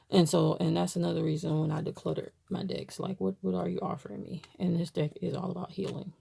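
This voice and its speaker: A woman's voice: fairly low pitch, gravelly, warm tone, slight background noise. A woman from Baltimore chatting casually to camera, rambling with many fillers as she shows items. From Baltimore, USA. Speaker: tarot deck reviewer.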